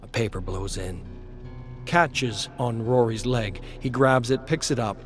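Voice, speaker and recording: A man narrating a dramatic western story in a terse, brooding way with weighted pauses. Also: mid-range voice, soft background music.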